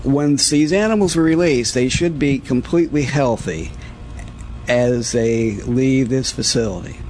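Careful, halting man explaining procedures. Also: mid-range voice, darkish tone, slight background noise. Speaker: quarantine facility veterinarian, interviewee. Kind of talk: explanatory interview answers.

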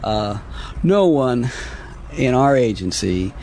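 A man speaking as a government official in an interview, answering in a measured, halting, formal way. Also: medium pitch, very animated, a little mellow, slight background noise. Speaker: government agriculture inspector, interview guest.

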